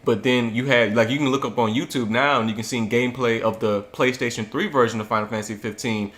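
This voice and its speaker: A man's voice: medium pitch, fairly even intonation, quick pace, fairly bright tone. A man in a casual gaming chat who gushes and rambles enthusiastically about games he loves.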